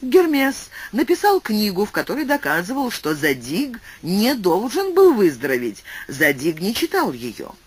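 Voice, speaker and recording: A man reading a classic philosophical tale aloud as an audiobook narrator, with expressive, swooping delivery and shifting pace. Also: high voice, unhurried, hardly any pauses, slightly rough. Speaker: audiobook reader.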